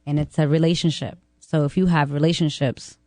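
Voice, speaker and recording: A woman's voice: low voice, steady tone, fairly quick, dark tone, no room sound, clean studio sound. A woman in her twenties talking casually as an interview guest, candid and self-assured, in short emphatic statements. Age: 20s. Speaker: podcast guest, real estate agent.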